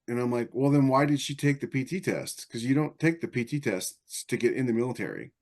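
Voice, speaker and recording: Halting, opinionated man chatting casually. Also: medium pitch, animated, a little mellow. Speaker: podcast panelist. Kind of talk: casual discussion.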